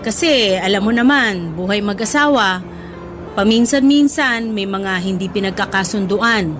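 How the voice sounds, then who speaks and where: A woman's voice: mid-range voice, normal speed, over music, natural voice. A woman playing a middle-aged Filipina mother in a radio drama, affectionate yet exasperated, with a Filipino accent. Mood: cautious, explaining. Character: Filipina mother, fond, teasing, scolding, uneasy about home. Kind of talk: Tagalog radio drama acting.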